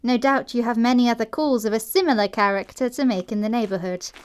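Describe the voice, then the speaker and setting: Woman acting young English girl of good family, high-pitched, prim, sweetly barbed, pointedly polite, period comedy audio play. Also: fast talker, clear, resonant tone, natural voice. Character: sweet but sharp-tongued young country heiress, primly defiant.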